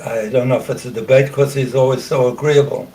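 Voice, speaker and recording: An older man hosting a talk show, speaking slowly and haltingly, clearing his throat often and losing his voice. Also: mid-range voice, fairly even intonation, dark tone, distant mic, poor audio. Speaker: host. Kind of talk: casual talk show monologue.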